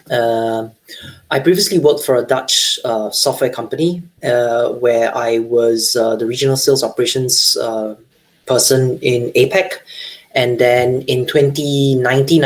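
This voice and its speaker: A man's voice: mid-range voice, fairly even intonation, a little slow. A man introducing himself casually on a video call. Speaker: meetup attendee.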